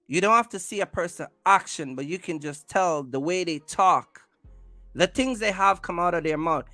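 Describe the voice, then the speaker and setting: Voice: medium pitch, bright, forceful tone, no echo. A livestream host talks casually in an emphatic, rambling way, often repeating phrases and restarting. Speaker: livestream host, content creator.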